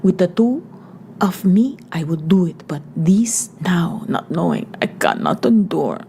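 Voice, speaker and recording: A woman's voice: fairly low pitch, animated, measured pace, gravelly, warm tone, slight background noise. A woman voicing a frightened young woman who pleads in a breathless, whispered way. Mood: desperate praying.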